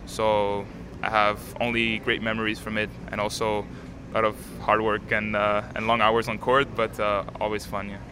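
An eighteen-year-old man from Canada, a native French speaker, answering interview questions outdoors in a calm, composed way with many fillers. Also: lowish voice, moderate pace, hardly any pauses. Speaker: tennis player, interviewee. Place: outdoors at a tennis stadium. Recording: crowd noise behind.

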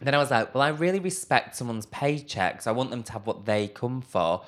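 A man's voice: mid-range voice, fairly quick. A man, a stand-up comedian, chatting in a radio interview, camp and self-mocking, cracking jokes as he goes.

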